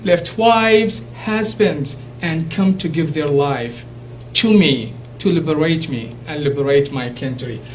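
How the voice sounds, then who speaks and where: A man with a thick Iraqi Arabic accent, a native Arabic speaker, giving an earnest, emphatic speech to a college class and halting now and then to restart. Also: high voice. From Iraq. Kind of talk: impassioned public speech. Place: classroom or lecture hall. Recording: distant mic with faint hum.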